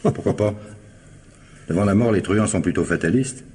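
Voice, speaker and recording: An actor playing a steady, probing police commissioner with dry irony in a French radio crime drama. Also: male voice, on the low side, a little hiss or hum, lightly acted. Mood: reflective. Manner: measured, direct questioning. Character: male police commissioner, steady, probing, dryly ironic interrogator.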